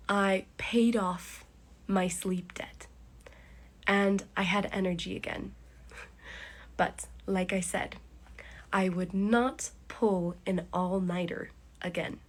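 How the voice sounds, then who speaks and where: A woman hosting a slow English podcast, speaking deliberately with pauses, congested and with a cough. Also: mid-range voice, slightly rough. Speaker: podcast host teaching English. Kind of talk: slow, clear English listening lesson.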